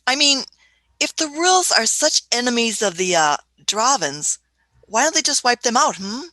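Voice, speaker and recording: A woman's voice: fairly high pitch, big pitch swings, moderate pace, bright, forceful tone. A woman voicing an elderly female time traveler in a fan radio play, shrewd and fussy, often hesitating with "uh" and "hmm".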